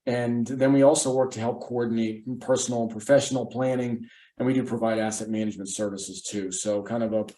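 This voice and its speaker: A man's voice: mid-range voice, steady tone, brisk, mellow. Male presenter, casual and conversational, echoey room sound. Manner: conversational, with frequent restarts. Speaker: financial advisor presenter.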